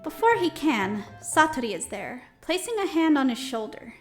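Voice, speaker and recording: Woman acting an animated, high-voiced anxious schoolgirl heroine. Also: normal speed. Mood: narrating. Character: anxious, exasperated young female student, new club member. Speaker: voice actor narrating and playing the lead. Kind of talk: first-person visual novel audio drama narration. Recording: music behind the voice.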